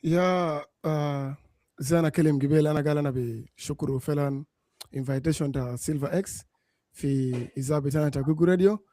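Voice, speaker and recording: Hesitant radio host, mellow. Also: male voice, on the high side, normal speed, no room sound. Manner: mixes languages, hesitant with many "uh"s. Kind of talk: radio interview question.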